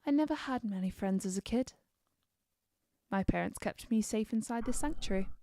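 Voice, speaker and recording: A woman's voice: medium pitch, expressive, moderate pace, dark tone, close to an everyday voice. A woman playing a battle-hardened, guarded, bloodthirsty Nord warrior in a video game, confiding in dramatic dialogue. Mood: wistful. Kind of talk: scripted game character dialogue.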